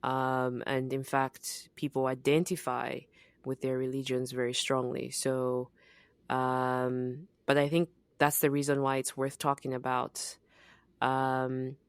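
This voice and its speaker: A young woman from Zambia co-hosts a casual podcast in a very deep, slow voice. Her tone is smooth and clear, and the room sounds dry. She talks in a reflective, relaxed way, full of fillers and easy laughs. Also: dry recording. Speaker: podcast co-host.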